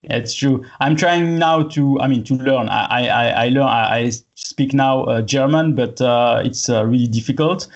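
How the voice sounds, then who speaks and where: A man whose first language is French, interviewing a guest in English in a friendly, casual way, with halting non-native phrasing. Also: mid-range voice. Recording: dull lo-fi audio.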